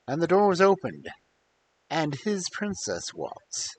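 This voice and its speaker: A man's voice: on the high side, wildly swooping pitch, unhurried. A man narrating fairy tales expressively as the host of a storytelling stream. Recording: faint background hiss.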